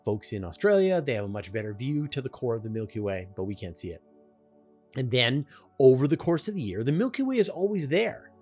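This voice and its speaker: A man's voice: mid-range voice, big pitch swings, a little fast, a little mellow, soft background music. A man hosting a question-and-answer show, explaining things in an emphatic, informal, conversational way. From Canada.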